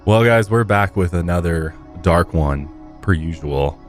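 An American man hosts a podcast in a casual, conversational way. His voice sits very low. Faint music plays behind him. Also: unhurried. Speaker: podcast host. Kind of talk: casual podcast intro and chat. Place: podcast studio.

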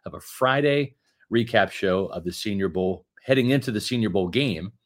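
A man's voice: fairly low pitch, normal speed. A man of fifty co-hosting a sports podcast, chatting casually and rambling with many restarts. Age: 50s. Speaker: podcast co-host.

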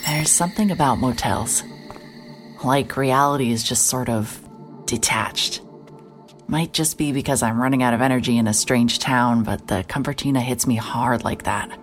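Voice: medium pitch, normal speed, lightly acted. An actor plays a tired, hard-boiled private eye in an audio drama. The voice sits in a middle register and narrates with dry, sardonic bite. Faint music runs underneath. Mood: weary, brooding. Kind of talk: first-person noir narration and dialogue in audio drama.

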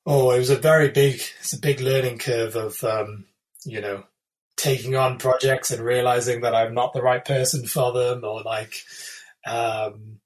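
A man's voice: mid-range voice, steady tone, unhurried, rough recording. A man in his thirties from the UK, an illustrator talking casually in an interview, thoughtful and hesitant with many fillers and restarts. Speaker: illustrator, guest.

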